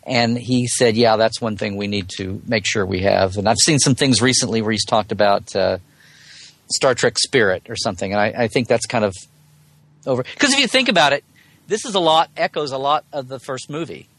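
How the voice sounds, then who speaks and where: A man's voice: mid-range voice, expressive, brisk, fairly bright tone, no room sound. A man in a casual fan discussion as a podcast guest, talking in a digressive, colloquial way and often rephrasing mid-thought. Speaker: podcast guest, Star Trek blogger.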